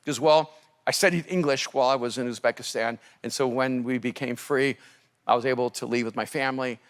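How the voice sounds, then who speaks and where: A man from El Salvador, a native Spanish speaker, preaching as a pastor and telling a story in an animated, laughing manner. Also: mid-range voice, brisk, clean tone. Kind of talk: storytelling sermon.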